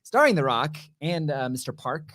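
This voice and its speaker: A woman's voice: deep voice, very animated, moderate pace, a bit bright. A woman co-hosting a TV review show in casual banter, with a blunt, skeptical, teasing way of talking.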